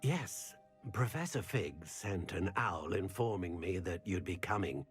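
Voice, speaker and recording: An actor playing a courteous, intrigued old master wandmaker in a fantasy game, musing and gently wry. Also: male voice, deep voice, lively intonation, a little slow. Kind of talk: cinematic fantasy game acting. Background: music.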